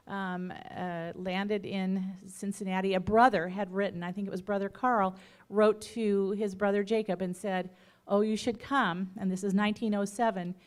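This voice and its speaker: A middle-aged woman speaking in a church at a family memorial, unscripted and halting, with self-corrections. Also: mid-range voice. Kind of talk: memorial remembrance talk.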